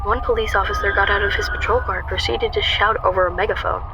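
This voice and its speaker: A woman's voice: mid-range voice, fairly even intonation, fast talker, background noise, close to an everyday voice. A woman playing an anxious, guarded young shop worker, narrating a radio drama in a wry, confessional way. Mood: wry, uneasy narration.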